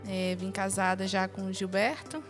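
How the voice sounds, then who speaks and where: A woman's voice: mid-range voice, fairly even intonation, normal speed, over music. A Brazilian-accented woman in her early thirties, an interview guest telling personal stories casually. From northern Brazil. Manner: chuckles often while talking.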